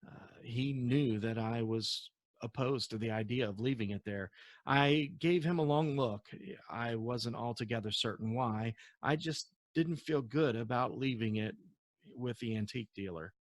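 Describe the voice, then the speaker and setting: Male author for storybook read-aloud, mid-pitched, expressive, occasional stumbles, very little room sound. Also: unhurried, no room sound. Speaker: author reading from own novel.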